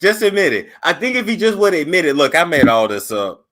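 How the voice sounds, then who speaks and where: Male call-in host, relaxed and chummy, expressive pitch. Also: fairly quick. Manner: relaxed, chummy, agreeing with callers. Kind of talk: casual call-in chat.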